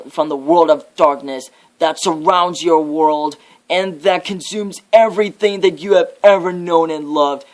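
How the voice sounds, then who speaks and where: A man voicing a menacing devil in an ASMR horror roleplay, with an ominous, drawn-out, theatrical delivery. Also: on the high side, natural voice. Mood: fierce menace. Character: menacing devil, a living nightmare preaching fear and death.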